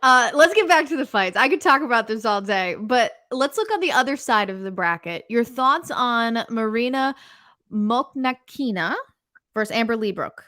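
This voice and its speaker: A woman's voice: on the high side, expressive, normal speed, pushed and bright. A woman hosting a casual interview podcast, upbeat and encouraging with her guest. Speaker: podcast host.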